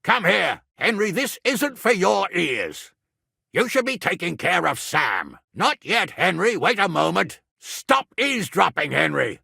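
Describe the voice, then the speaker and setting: A man playing a middle-aged medieval lord and guardian in a video game, blunt, commanding and earthy, with a gravelly, raspy voice. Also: sits high, big pitch swings, a little slow, pushed and bright, dry recording, clean studio sound, exaggerated voice. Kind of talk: dramatic video game dialogue.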